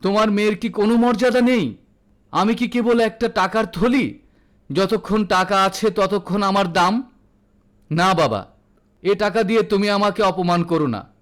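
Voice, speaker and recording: A man plays a young married daughter in an audio story, in a fairly high voice. She is proud and hurt. Her manner is earnest, firm and defiant. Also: measured pace, long pauses. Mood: indignant. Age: young adult.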